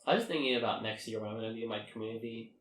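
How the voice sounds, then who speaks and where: A man's voice: fairly low pitch, racing, a bit bright, far from the mic, slight background noise. A young adult man co-hosting a podcast in casual, rambling, slangy and jokey chat. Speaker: podcast co-host. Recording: echoey room.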